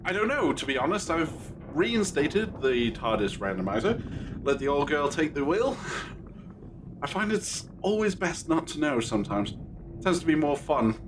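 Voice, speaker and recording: A man's voice: fairly high pitch, big pitch swings, moderate pace. A man playing an eccentric, whimsical time-travelling adventurer in an audio drama, musing and a little self-satisfied, over noise. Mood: breezy, whimsical. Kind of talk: scripted audio drama acting.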